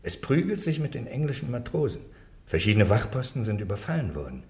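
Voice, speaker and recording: An actor playing a worried aide reporting trouble to a bishop in a radio play. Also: male voice, medium pitch, very animated, a little fast, a little mellow. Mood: urgent. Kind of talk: German radio play acting.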